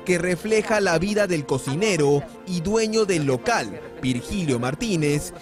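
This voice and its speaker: A man's voice: high voice, expressive, unhurried. A man reading a scripted television news report in a lilting newsreader cadence. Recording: news voice-over with music bed.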